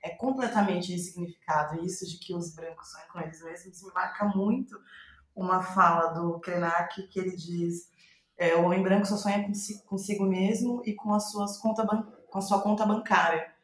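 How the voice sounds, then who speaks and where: A Brazilian woman, a playwright in a reflective podcast interview, thinking aloud in a halting, thoughtful way. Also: fairly low pitch, fairly even intonation. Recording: roomy, echoing sound.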